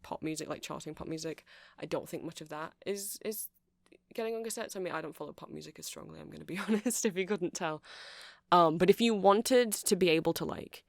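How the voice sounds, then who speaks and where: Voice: medium pitch, vocal fry, no echo, close to an everyday voice. A performer playing a nerdy, earnest vampire who sells music in a shop, in a hesitant, rambling ASMR roleplay full of self-corrections. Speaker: shop seller.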